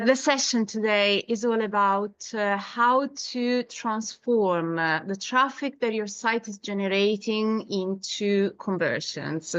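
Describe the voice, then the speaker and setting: A woman's voice: mid-range voice, no room sound, lo-fi sound. A woman from Italy with an Italian accent, presenting a marketing webinar over a video call with frequent hesitations. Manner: hesitant, many filler sounds, storytelling. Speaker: webinar presenter, SEO agency founder.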